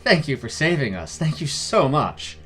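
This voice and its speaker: A man's voice: a little high, big pitch swings, moderate pace, a bit bright, slightly rough, soft background music, pitched above the performer's own voice, heavily acted. A man voicing a friendly, polite middle-aged merchant who chats and explains in a fantasy story. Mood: friendly, grateful. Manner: chatty, courteous, explanatory.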